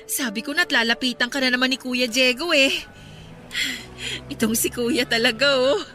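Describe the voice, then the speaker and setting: An anxious Filipino kid sister in a radio drama. Her high voice is bright and sharp, lively and pleading. Light music sits underneath. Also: moderate pace. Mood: exasperated, lively. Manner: urgent, familiar.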